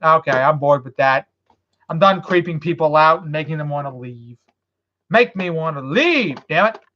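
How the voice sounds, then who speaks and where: A woman's voice: low voice, lively intonation, normal speed. A woman streamer chatting casually and rambling, swearing freely and a bit loose after a couple of beers. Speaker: live streamer. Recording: dull lo-fi stream audio.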